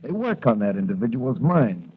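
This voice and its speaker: A man's voice: mid-range voice, sing-song, normal speed, breathy edge. An actor playing a confident young patrolman in a 1940s radio adventure, explaining things in a matter-of-fact way. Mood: matter-of-fact, earnest. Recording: muffled, band-limited vintage radio recording.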